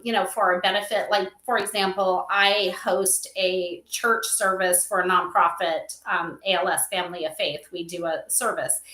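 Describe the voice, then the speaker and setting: Female livestream guest, upbeat and conversational, sharp bright tone, clearly echoey room sound. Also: medium pitch. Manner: enthusiastic, conversational, peppered with "you know". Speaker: interview guest, company advisor. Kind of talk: casual interview chat. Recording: roomy, echoey livestream audio.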